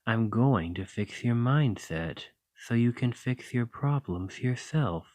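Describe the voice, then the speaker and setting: A man voicing a doting, teasing male dragon lover in an ASMR roleplay, talking softly and coaxingly. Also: mid-range voice, lively intonation, takes it slowly, dry recording. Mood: tender. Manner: soft, playful and coaxing.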